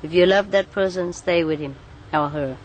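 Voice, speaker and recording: Low-pitched woman, earnest teacher. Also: crowd noise. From Vietnam. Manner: earnest, unhurried, frequent soft laughs and "uh" pauses. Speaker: spiritual teacher. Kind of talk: interview answers.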